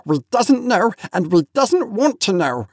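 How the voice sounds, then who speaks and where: A wretched little storybook creature with a high, husky whine that swoops wildly. It cringes and whimpers, repeating itself in broken phrases. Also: male voice, sing-song, unhurried, a little mellow, no echo, clean studio sound, full character voice. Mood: whimpering refusal. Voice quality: whining, whimpering. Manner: repetitive broken speech, calls himself we.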